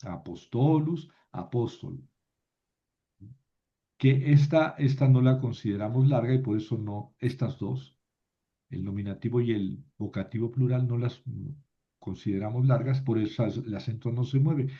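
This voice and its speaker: A man teaching a class, slowly reciting word forms and thinking aloud as he explains. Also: medium pitch. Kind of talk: slow classroom lesson on grammar. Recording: dull lo-fi recording.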